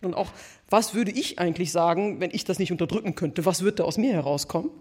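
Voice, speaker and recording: A jury member giving reflective spoken commentary in a creaky voice. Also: mid-range voice, a little fast, a little mellow.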